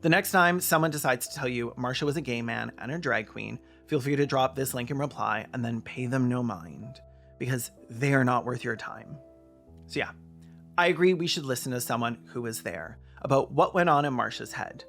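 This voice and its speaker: A man's voice: mid-range voice, expressive, moderate pace, gravelly, a bit bright, faint music behind. A man narrating a scripted video essay with casual asides, in an emphatic, opinionated way. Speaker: video essayist and podcast host.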